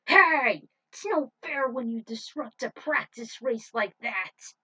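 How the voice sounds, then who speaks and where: A woman playing a shrill, fiery young pilot. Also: animated, slow, full character voice. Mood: furious. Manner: shrill, shouting, desperate.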